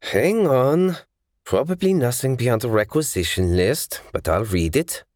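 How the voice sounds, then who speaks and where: A man playing a gruff, curt, commanding fighter in an audiobook. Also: medium pitch, expressive, measured pace, darkish tone, no room sound, clean studio sound, pitched above the performer's own voice, natural voice. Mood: intent. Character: gruff, commanding male fighter hunting vampires.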